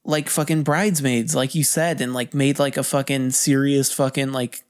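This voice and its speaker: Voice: mid-range voice, level tone, moderate pace, smooth. Rambling, sweary podcast host. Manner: rambling, repetitive, heavily sweary. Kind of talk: casual movie chat.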